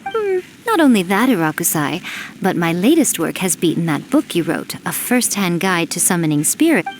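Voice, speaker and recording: A woman's voice: lowish voice, sing-song, normal speed, faint music behind. An actress playing a sly, smug ancient female fox yokai in a game cutscene, teasing and amused.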